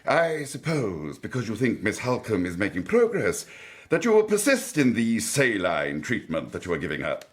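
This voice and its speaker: Suave, swooping scheming count. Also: male voice, fairly low pitch, wildly swooping pitch, moderate pace. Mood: smooth, patronising. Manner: florid, grandiose, smoothly condescending. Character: suave, scheming foreign aristocrat count, fat and theatrical. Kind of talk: audio drama acting.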